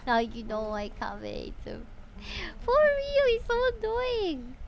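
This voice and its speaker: A woman's voice: high-pitched, huge pitch swings, takes it slowly, slight background noise. A young woman from the Philippines streaming a game, muttering to herself and swearing as she works through a puzzle. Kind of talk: casual gaming stream commentary.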